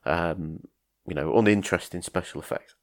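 A man's voice: low voice, normal speed. A man hosting a casual film podcast, talking in a hesitant way with many ums and restarts. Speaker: podcast host.

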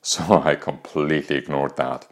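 A man in his fifties with an Austrian accent, hosting a podcast and calmly telling a candid personal story. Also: extremely low pitch, moderate pace. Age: 50s. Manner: calm, reflective, candid. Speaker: podcast host.

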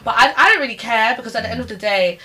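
A woman's voice: on the high side, animated, racing, sharp tone. A young woman, chatting bluntly in a group discussion. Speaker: podcast host.

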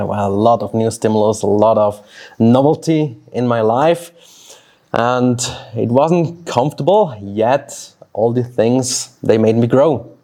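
A man in his thirties from Switzerland, a native Swiss German speaker, thinking aloud in a personal vlog with pauses and restarts. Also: mid-range voice, mellow. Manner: reflective, talks slowly with pauses and restarts. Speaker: fitness coach. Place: outdoors near a road.

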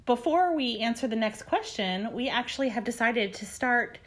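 A woman's voice: a little high, lively intonation, moderate pace, a bit bright. A woman co-hosting a podcast with her husband, chatting casually and acting out her own thoughts with animation. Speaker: podcast co-host. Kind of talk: casual chat.

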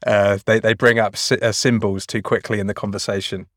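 A man's voice: lowish voice, level tone, fairly quick, a bit bright, no echo, clean studio sound. A man hosting a podcast, talking enthusiastically and stammering through his sentences. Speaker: podcast host. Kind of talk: casual chat.

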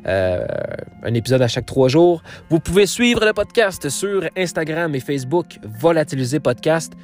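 A man's voice: fairly high pitch, big pitch swings, moderate pace, soft background music. A man from Quebec with a Quebec French accent, hosting his podcast and talking casually, with many fillers and restarts. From Quebec, Canada. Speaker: podcast host. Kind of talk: casual solo podcast outro.

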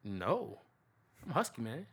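A man's voice: medium pitch, huge pitch swings, slow, a bit bright, breathy edge. A young man co-hosting a casual podcast chat, talking in loose slang with lots of laughing. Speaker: podcast co-host.

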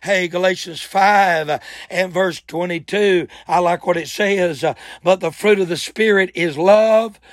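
A man preaching a fervent church sermon in a raspy voice, with emphatic, folksy exclamations and repeated phrases. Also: high voice, a little slow, hardly any pauses, dry recording, studio quality. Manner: folksy, colloquial.

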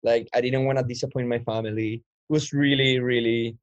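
A man's voice: mid-range voice, fairly even intonation, fairly quick, no echo. Young man from Chile, twenties, rambling guest storyteller, muffled close sound. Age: 20s. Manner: rambling, many restarts and fillers. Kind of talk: casual interview storytelling. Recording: dull lo-fi dry sound.

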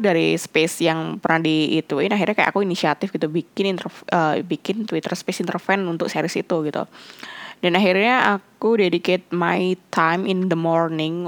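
Casting note: woman, lowish voice, relaxed chat mixing Indonesian and English, dry close mic. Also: dry recording. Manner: hesitant, many fillers and restarts. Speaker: fan sharing her experience.

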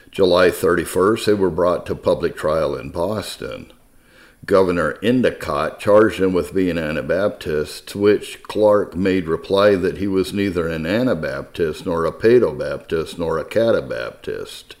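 A man reading church history as a scripted narration, in a measured, formal manner with phrases that fall at the end. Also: lowish voice.

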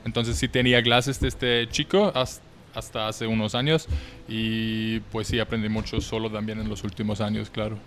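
A man's voice: fairly low pitch, flat delivery, moderate pace, a bit bright, slight background noise. A young man from Austria, a native German speaker, chatting casually as a musician guest and searching for words. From Vienna, Austria. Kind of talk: casual chat.

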